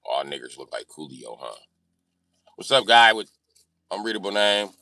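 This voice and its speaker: Brash man with a bright, forceful voice. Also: fairly low pitch, big pitch swings, normal speed, gravelly, no echo. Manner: brash, slangy, joking. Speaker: livestream host reading and answering comments. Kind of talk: casual profane livestream chat.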